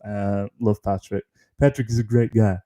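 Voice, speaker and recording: A man hosting a live comedy stream, rambling in a flat deadpan tone, with lots of ums, restarts and swearing. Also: lowish voice, normal speed. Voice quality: deadpan, flat-toned delivery. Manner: self-deprecating, frequent ums and restarts, sweary. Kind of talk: rambling stand-up style chat on a live stream.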